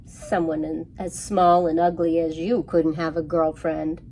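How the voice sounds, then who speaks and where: A woman voicing a haughty, mocking male lion for a children's storybook, with a booming, scornful delivery. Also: little pitch movement, unhurried, dark tone, slight background noise, low-quality recording.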